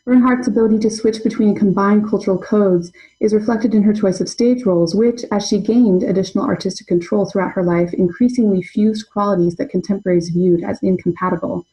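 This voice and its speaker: A young adult woman presenting an academic paper in a measured, scholarly way. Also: mid-range voice, fairly even intonation, warm tone, low-quality recording. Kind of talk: reading a prepared conference paper.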